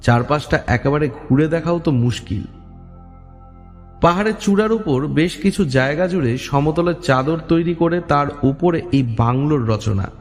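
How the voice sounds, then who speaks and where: A man narrating a horror story in a dramatic, atmospheric way. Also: a little high. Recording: long reverb, music underneath. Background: music.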